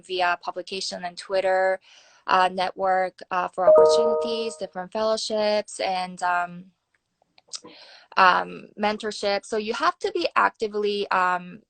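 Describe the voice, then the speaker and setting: Korean accented woman on a video call, mid pitch, dry sound, lots of uh and um. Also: fairly even intonation, measured pace, a bit bright, dry recording, rough recording. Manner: thoughtful, many ums and uhs, careful phrasing. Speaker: guest, nuclear security fellow. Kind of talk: online interview answers.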